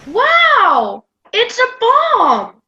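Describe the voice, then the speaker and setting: A woman playing an excitable, curious, blunt young grandchild in an amateur radio play. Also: high voice, slow, lo-fi sound, exaggerated voice. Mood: excited. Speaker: student radio play performer.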